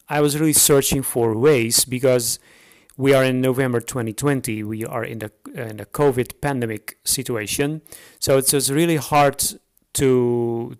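A man from the Netherlands with a Dutch accent, speaking English as a second language, hosting a solo podcast introduction unscripted, with many pauses, fillers and restarts. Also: medium pitch. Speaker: podcast host.

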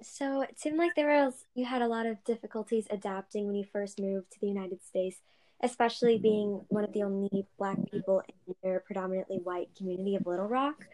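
Woman asking measured interview questions. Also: mid-range voice, darkish tone, rough recording.

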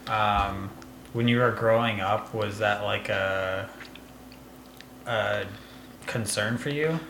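A man hosting a podcast, talking in an easygoing, supportive way with halting filler words. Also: lowish voice, vocal fry, fairly bright tone, roomy sound, slight background noise. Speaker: podcast host. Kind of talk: casual chat.

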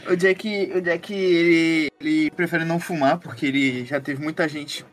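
Male roleplay player, higher pitched, casual explanatory chat over music. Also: steady tone, normal speed. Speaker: tabletop game player.

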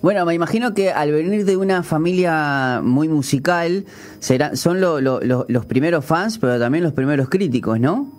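Male Uruguayan radio host, higher pitch, chatty, hesitant, many fillers. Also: moderate pace, darkish tone. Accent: Uruguayan Spanish. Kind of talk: radio interview. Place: radio studio.

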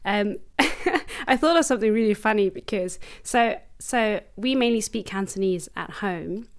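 Young woman hosting a chill podcast, mid-pitch voice, easygoing, lots of ums. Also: normal speed. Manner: relaxed, fillers and soft laughs. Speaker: podcast host. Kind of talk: casual interview chat.

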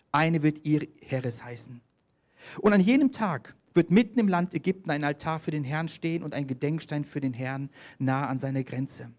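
A man's voice: a little high, darkish tone. A man preaching a sermon, telling mission stories, reading scripture aloud and calling for the next slide. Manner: storytelling, reads scripture aloud, directs slides.

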